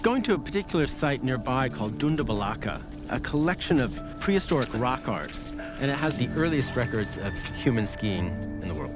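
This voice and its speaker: Male historian-presenter, American accent, medium pitch, documentary narration with music bed. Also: sing-song, fairly quick. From United States. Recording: music behind the voice.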